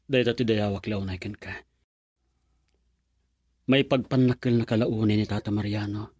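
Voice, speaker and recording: A man's voice: on the low side, steady tone, normal speed, a little mellow, husky, dry recording, clean studio sound. A man playing a devoted young suitor in a radio romance drama, speaking in an earnest, pleading manner. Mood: earnest, caring. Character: earnest devoted young male suitor, hard-working architect.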